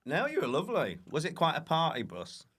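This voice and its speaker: A man's voice: fairly high pitch, wildly swooping pitch, a little fast, a bit bright, slightly rough. A man chatting casually as a podcast guest, telling rambling, self-deprecating comic anecdotes with a giggly manner. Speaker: guest comedian.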